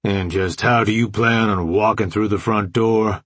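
A man's voice: lowish voice, very animated, normal speed, gravelly, a bit bright, husky, no echo, exaggerated voice. A man voicing a blunt, impatient, sarcastic male character in an audiobook.

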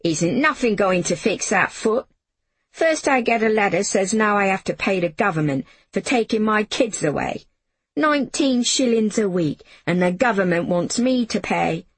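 A woman's voice: medium pitch, expressive, moderate pace, slightly rough, no echo. A woman voicing an angry working-class English mother in an audiobook, scornful, suspicious and blunt in her colloquial scolding. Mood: indignant. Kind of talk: audiobook character voice.

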